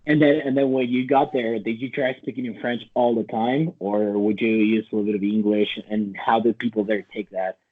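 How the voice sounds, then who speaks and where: A man's voice: on the low side, fairly even intonation, normal speed, few pauses. A man hosting a casual interview, asking meandering, loosely phrased questions over a thin, narrow-band connection. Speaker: interviewer, host.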